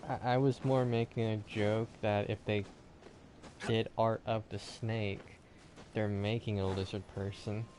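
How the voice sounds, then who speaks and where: A man's voice: on the low side, flat delivery, unhurried, a little mellow, slight background noise. A man doing casual gaming stream commentary, rambling and thinking aloud. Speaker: game streamer.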